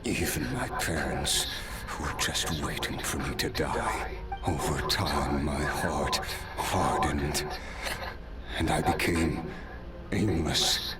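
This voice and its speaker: An ancient noble swordsman from a video game, speaks at the very bottom of the male range. He is weary and courteous, unfolding his words slowly and gravely, with reflective pauses. Prominent music plays underneath. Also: rumbling low. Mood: wistful, reflective. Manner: measured, solemn storytelling with pauses. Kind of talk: scripted video game voice acting.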